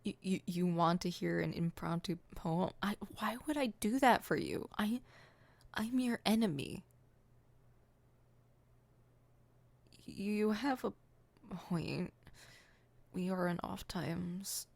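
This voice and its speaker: A woman's voice: fairly low pitch, expressive, unhurried, creaky, no room sound, full character voice. A woman playing a theatrical, poetic supervillain in an ASMR roleplay, haughty and dramatic, stammering when flustered. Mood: hesitant, bashful.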